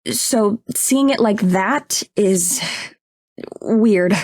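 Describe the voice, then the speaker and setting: A woman's voice: mid-range voice, very slow, darkish tone, no echo. A woman playing an earnest, unsettled young woman in a visual novel, speaking in a hesitant, sincere, conversational way. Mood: uncertain, curious.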